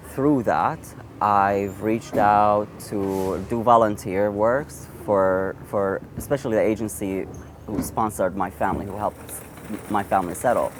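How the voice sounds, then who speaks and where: A man in his thirties from Iraq, an Assyrian speaker, telling his own story in an interview in a halting way, with frequent restarts. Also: on the low side, a little hiss or hum. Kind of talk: personal storytelling.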